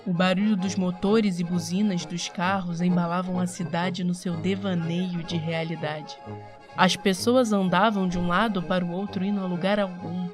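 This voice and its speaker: A woman narrates an audio drama from a script. Her voice is on the low side. Music plays clearly behind her words. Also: fairly even intonation, normal speed.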